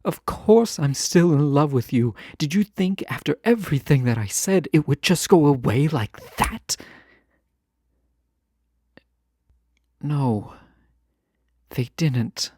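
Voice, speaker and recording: A proud fantasy ruler, acted by a man, speaks with yearning and formal care. His mid-pitched voice has a marked gravelly fry and moves slowly through hesitant pauses. The sound is dry and intimate, in the style of a romantic audio roleplay. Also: animated, dark tone, no room sound. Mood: pleading, yearning. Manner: formal, deliberate, earnest speech with hesitant pauses.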